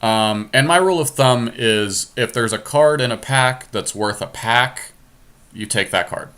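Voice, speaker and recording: A man's voice: mid-range voice, unhurried, pushed and bright. A man giving casual live commentary as he plays a card game draft, thinking aloud in a conversational, analytical way. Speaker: podcast host and player.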